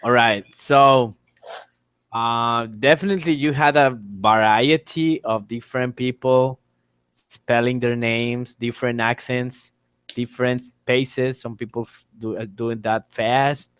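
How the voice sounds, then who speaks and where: A man's voice: mid-range voice, steady tone, slow pace, fairly bright tone, clean tone, no echo. A man teaching an informal online exam lesson, explaining things step by step and repeating his phrases. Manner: explanatory, repeats phrases and spells letters aloud. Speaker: English teacher, exam tutor. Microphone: online call microphone.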